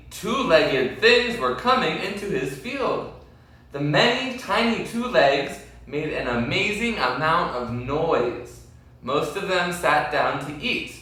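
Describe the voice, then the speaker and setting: A man reading a children's story aloud expressively, with deliberate, dramatic phrasing and questions to his listeners. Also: fairly high pitch, sharp tone. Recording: very echoey room, distant mic.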